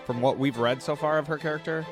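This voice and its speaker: A man's voice: on the high side, steady tone, fast. A man hosting a casual reaction video, talking in a rambling, chatty way with lots of fillers and restarts. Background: faint music playing.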